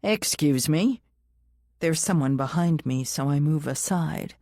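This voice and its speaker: Female audiobook narrator for a first-person thriller, deep-registered and soft-edged in tone, very dry recording. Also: steady tone, normal speed, dry recording.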